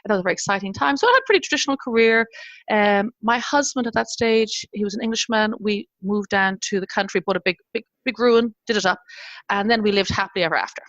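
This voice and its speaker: A woman's voice: mid-range voice, lively intonation, fast talker, a bit bright, dry recording, highs cut off. An Irish woman in her fifties, a guest telling her story in a casual interview and laughing often. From Ireland. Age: 50s.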